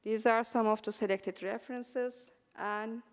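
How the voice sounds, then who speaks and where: Female presenter, Turkish accent, smooth voice, encouraging, filler-heavy delivery in a reverberant hall. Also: fairly high pitch, steady tone, unhurried. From Turkey. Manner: frequent um and uh fillers, earnest and encouraging. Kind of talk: conference presentation. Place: symposium hall.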